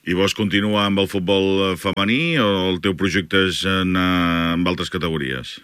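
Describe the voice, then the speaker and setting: Deep-voiced radio host, dry studio sound. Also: male voice, fairly bright tone, smooth, no echo. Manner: conversational, quick follow-up questions. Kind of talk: casual radio interview.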